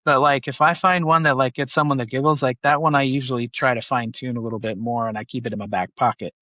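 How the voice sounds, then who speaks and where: A man's voice: mid-range voice, fairly even intonation, fast, no echo, dull audio. A man in his forties from Utah, a banker chatting as a guest in a casual interview, rambling with lots of filler words. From Utah, USA. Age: 40s. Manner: rambling, filler-heavy, many "like"s.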